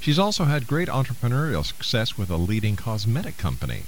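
A man hosting a radio talk show, speaking earnestly and deliberately as he interviews and makes announcements. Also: mid-range voice, huge pitch swings. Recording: hissy, noisy broadcast audio.

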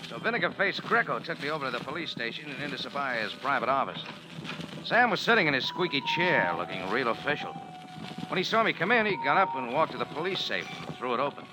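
An actor playing a wry, tough cafe owner in 1940s radio noir, clipped and hardboiled. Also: male voice, medium pitch, very animated, brisk, close to an everyday voice, rough recording. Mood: hardboiled narration. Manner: dry, wisecracking, clipped hardboiled delivery. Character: wry, tough male cafe owner narrating his adventure. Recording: old radio broadcast with music behind.

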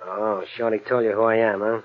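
A man's voice: lowish voice, little pitch movement, brisk, close to an everyday voice. An actor playing a menacing, sneering male gangster with tough, slangy talk in 1940s radio style. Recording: tinny, narrow old radio recording.

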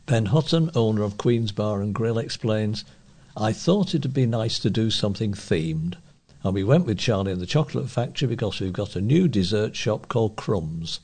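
A man's voice: on the low side, expressive, moderate pace, darkish tone, slightly rough. A man, reading scripted local news as a volunteer newsreader. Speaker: volunteer newsreader for a talking newspaper.

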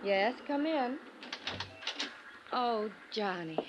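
A woman's voice: fairly high pitch, lively intonation, very slow, dull audio, noisy background. An actress playing a grieving, grateful young woman in a 1950s radio drama, tender and emotional. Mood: grateful, subdued. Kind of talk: 1950s American radio melodrama acting. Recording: 1950s radio broadcast with music.

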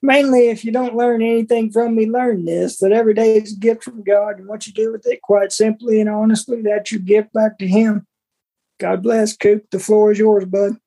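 A man's voice: high-pitched, flat delivery, moderate pace, mellow, rough recording. A man co-hosting a sports podcast, giving casual commentary in a folksy, colloquial way. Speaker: podcast co-host.

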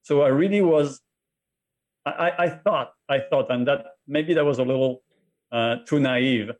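A middle-aged man with a French accent, a podcast guest telling his own story in a halting way that often repeats words. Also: medium pitch. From France. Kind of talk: interview conversation.

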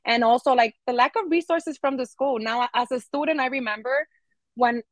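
A woman in her thirties with a New York accent speaks as a guest on a casual podcast. She talks in a relaxed, reflective way with plenty of filler words. Her voice sits a little high, and the sound is close and dry. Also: normal speed, no room sound. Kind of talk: casual conversational interview.

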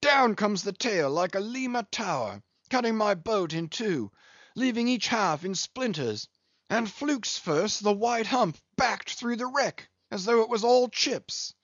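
A man's voice: high-pitched, a little slow, no echo, muffled recording, voice pushed up, heavily acted. A man doing a hearty one-armed English captain. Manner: hearty, lively yarn-spinning.

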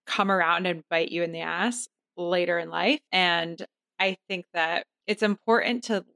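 A woman's voice: on the low side, fairly even intonation, measured pace, vocal fry, bright, forceful tone, no room sound. A woman co-hosting a podcast in casual chat, talking in an earnest, reflective way. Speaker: podcast co-host.

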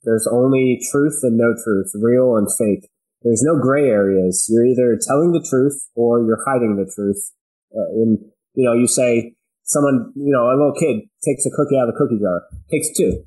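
Medium-pitched man, emphatic casual podcast guest. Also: moderate pace, warm tone, poor audio. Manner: emphatic, slangy, asks rhetorical questions. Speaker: podcast guest, business owner. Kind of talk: casual chat, telling anecdotes.

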